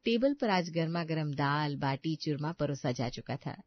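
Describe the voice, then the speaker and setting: A woman's voice: sits low, animated, normal speed, highs cut off. A woman narrating a scripted moral story as its storyteller.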